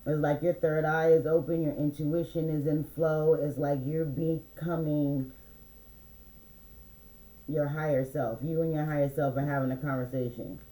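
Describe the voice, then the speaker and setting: Mellow-voiced man reading oracle cards. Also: a little high, reverberant, poor audio. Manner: loose and meandering, many fillers; slow, reads affirmations aloud. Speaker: spiritual reader.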